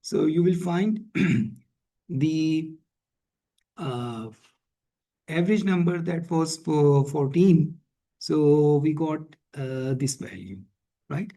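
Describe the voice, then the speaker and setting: A man teaching in a screencast tutorial, thinking aloud haltingly and often checking in with a questioning tag. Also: a little high, dark tone, rough recording. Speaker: instructor. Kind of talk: screencast programming and statistics tutorial.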